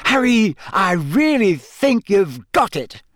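A man's voice: a little high, normal speed, no echo, studio quality, voice pushed up, close to an everyday voice. A man voicing an earnest, encouraging teenage girl in an audiobook, with an emphatic way of talking. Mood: delighted, firm.

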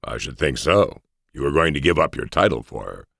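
A man's voice: rumbling low, lively intonation, a little fast, gravelly, fairly bright tone, dry recording, exaggerated voice. A man voicing a wry scout in an audiobook, needling an old friend with dry understatement. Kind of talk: audiobook character voice.

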